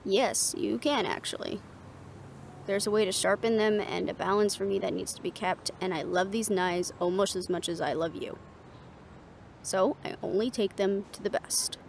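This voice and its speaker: A woman's voice: mid-range voice, creaky, a little hiss or hum, close to an everyday voice. A woman playing a confident, teasing monster hunter in an audio roleplay, with a wry, coaxing manner. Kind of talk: intimate scripted audio drama acting.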